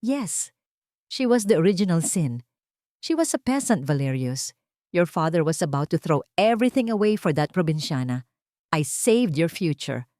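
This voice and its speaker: A woman voicing a cold, scheming rich mother in an audio drama, calm and haughty. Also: low voice, normal speed, dry recording, clean studio sound. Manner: calm, haughty, code-switching Tagalog and English.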